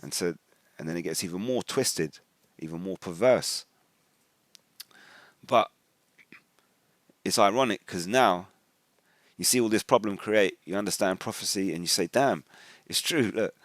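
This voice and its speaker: A man with an English accent and a notably deep voice speaks in an informal commentary style. His wording is colloquial and slangy, and he slips into quoted remarks as he explains things. The sound is dry, with very little room around the voice. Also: fairly bright tone, no room sound. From England. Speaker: religious video commentator. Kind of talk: informal spoken commentary with personal anecdotes.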